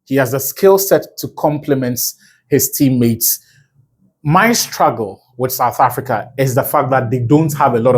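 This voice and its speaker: A man from Ghana giving a solo football analysis video, fluent and chatty, with asides and quick self-corrections. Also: medium pitch, a little mellow, breathy edge.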